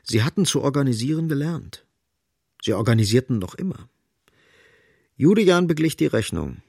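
A male narrator delivers scripted literary prose with grave drama. His voice is raspy and medium in pitch, and it moves expressively up and down. The sound is very dry. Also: big pitch swings, normal speed, a little mellow, no room sound.

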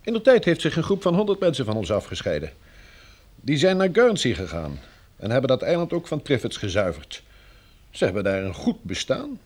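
A diplomatic envoy of a pioneer community in a radio drama. He is formal and persuasive, firm under his courtesy, and his medium-pitched voice moves up and down with lively intonation. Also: a little fast. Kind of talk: radio play acting.

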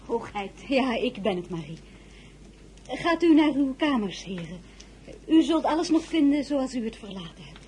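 A woman playing a gracious but worried crown princess in a radio drama, soft-spoken and a little hesitant under strain. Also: a little high, very animated, moderate pace, slight background noise. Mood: weary, gracious. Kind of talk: radio drama acting with diary narration.

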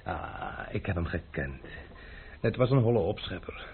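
An actor playing a playful, courtly young gentleman who teases and mocks in a radio play, heard on a tinny old recording. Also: deep voice, animated, moderate pace, a little hiss or hum. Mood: amused. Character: teasing gallant young gentleman adventurer. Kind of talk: radio drama acting.

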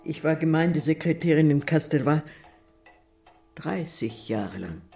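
Slow, weary older woman. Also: low-pitched, lively intonation, creaky, music in the background, exaggerated voice. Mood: bleak resignation. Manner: halting, terse, resigned. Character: weary, lonely older female painter, terse and withdrawn. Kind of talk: German radio crime drama acting.

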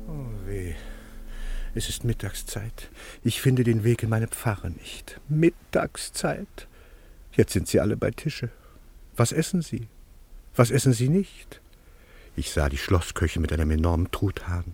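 A man's voice: fairly low pitch, big pitch swings, unhurried, a little mellow, slightly rough, heavily acted. An actor playing a gluttonous, pompous, self-serving parish priest in a German radio comedy, exclaiming and savouring his words. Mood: hungry, plaintive. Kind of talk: German radio play acting of a classical comedy.

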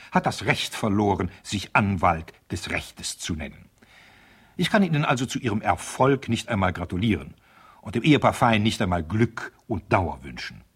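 An actor playing a stern, cynical male divorce lawyer in a radio play, speaking in a formal, pointed and condescending manner with a husky, rough voice. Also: fairly low pitch, exaggerated voice. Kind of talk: radio drama letter monologue.

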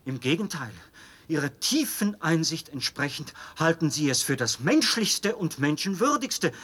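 An actor playing a fervent, fanatically devoted officer in a German radio drama, speaking with insistent, theatrical persuasion and a husky voice. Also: male voice, on the high side, big pitch swings, measured pace, a bit bright, heavily acted. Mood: agitated, desperate.

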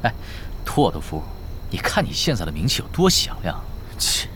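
A man's voice: lowish voice, moderate pace, slight background noise. An actor playing a young man who teases and bickers like a brother in a Chinese audio drama. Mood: teasing. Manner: bantering, expressive. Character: young man, teasing twin brothers bickering over dinner.

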